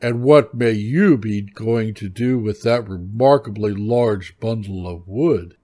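A man puts on a big storybook bear with a low voice. The creature is friendly, inquisitive and gluttonous. It talks slowly and politely, with weight on its words. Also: mellow. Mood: genial, inquisitive.

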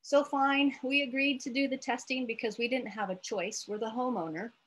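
An American woman in her late forties giving an informal, halting lesson with storytelling, thinking aloud as she explains. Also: on the high side, fairly even intonation, a bit bright, poor audio. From United States, Iowa. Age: late 40s. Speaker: therapist presenting an educational video letter.

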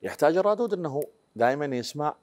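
A man's voice: a little high, lively intonation, very fast, a little mellow. A man in his forties with an Iraqi accent, a talk show host asking probing questions in a studio interview. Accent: Iraqi Arabic. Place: TV studio set, indoors.

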